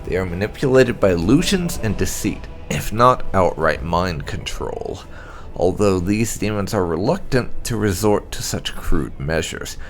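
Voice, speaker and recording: A man reading game lore aloud as scripted narration, in a measured, dramatic storytelling way. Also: deep voice, expressive. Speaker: gaming video host. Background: background music.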